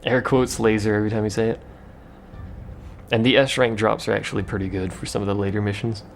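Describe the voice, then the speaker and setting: A man's voice: fairly low pitch, little pitch movement, fast talker, creaky, a little hiss or hum. A man giving casual, rambling gameplay commentary with a joking, self-mocking manner. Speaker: let's play commentator.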